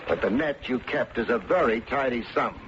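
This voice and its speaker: An actor playing a cocky, shrewd private detective with wry, slangy, clipped delivery in an old-time radio drama. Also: male voice, medium pitch, animated, normal speed, lightly acted. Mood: confident, accusing. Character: wisecracking, hard-boiled male private detective, cocky and shrewd. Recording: muffled, noisy vintage radio recording.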